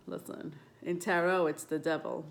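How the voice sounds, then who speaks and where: A woman's voice: lowish voice, flat delivery, normal speed. A woman with a stuffed-up nose talking casually to camera as a tarot and astrology vlogger, rambling with lots of ums and self-corrections.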